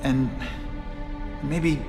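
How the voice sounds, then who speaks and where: An actor playing an earnest, principled young superhero in a video game cutscene. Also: male voice, mid-range voice, fairly even intonation, very slow, long reverb tail, over music. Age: young adult.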